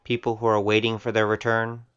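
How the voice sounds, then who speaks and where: A man's voice: fairly low pitch, completely flat delivery, fairly quick, a bit bright, clear, resonant tone, dull audio, close to an everyday voice. A man playing a frank, easygoing wanderer in an audio drama, casual and plain-spoken. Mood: wry, probing.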